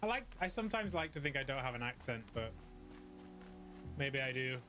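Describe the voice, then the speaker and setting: A man's voice: mid-range voice, big pitch swings, brisk, bright, forceful tone. Chatty British man streaming a game. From northern UK. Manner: chatty, laughs easily. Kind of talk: live gameplay chat. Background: game music.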